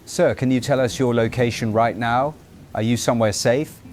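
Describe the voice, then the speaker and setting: A man playing a composed radio news presenter, with a formal, measured broadcast delivery. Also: medium pitch, steady tone, darkish tone, a little hiss or hum, close to an everyday voice. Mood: urgent, controlled. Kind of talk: scripted broadcast news narration. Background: music bed.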